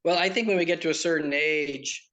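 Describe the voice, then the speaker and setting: A man's voice: fairly high pitch, brisk. Man with a slight Southern American accent. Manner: relaxed, explanatory, with frequent fillers. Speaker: pilgrimage tour operator and guest. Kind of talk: conversational interview.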